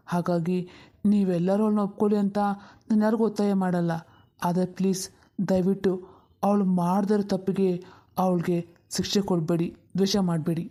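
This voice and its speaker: An earnest younger brother acted by a woman in a cartoon family story. The voice is mid-pitched and very mellow, with an emphatic, pleading delivery full of compassion. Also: close to an everyday voice. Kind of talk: dramatic cartoon story dialogue.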